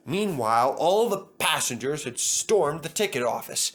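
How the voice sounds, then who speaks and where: A man narrating a children's story from a script in an expressive, dramatic storytelling manner. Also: fairly high pitch, normal speed. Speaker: storybook reader.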